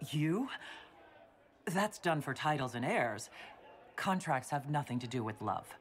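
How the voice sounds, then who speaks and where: A huge horned warrior woman in a fantasy video game. Her voice sits low and moves unhurriedly, with a calm, affectionate and gently amused manner. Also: unhurried. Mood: surprised, doubtful. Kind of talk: video game cutscene dialogue.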